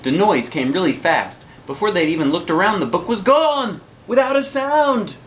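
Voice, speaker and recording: A man's voice: sits high, expressive, moderate pace, fairly bright tone, a little hiss or hum. A man reading a picture book aloud as a dad would, with dramatic rhythmic phrasing and casual asides to children.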